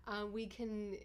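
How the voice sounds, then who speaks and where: A woman with a deep, raspy voice, chatting casually with viewers on a livestream. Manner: chatty, bubbly, thanks viewers often. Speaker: livestreamer and YouTuber.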